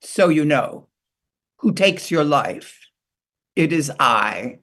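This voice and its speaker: A woman voicing a proud, boastful male eagle who talks loudly, slowly and grandly. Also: low-pitched, lively intonation, pitched below the performer's own voice, heavily acted. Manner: loud, slow and grandiose. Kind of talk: storytelling.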